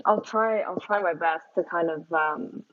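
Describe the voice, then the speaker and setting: A woman's voice: fairly low pitch, measured pace. A woman speaking as an interview guest, hesitant and full of fillers and restarts. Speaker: guest, youth movement organizer. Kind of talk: casual interview answers. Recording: dull lo-fi sound.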